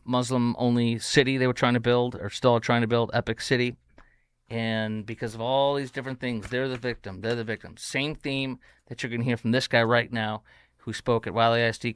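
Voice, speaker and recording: A man's voice: mid-range voice, clean tone, dry recording, studio quality. A man hosting an opinionated talk show, combative and sarcastic in tone. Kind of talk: opinionated commentary.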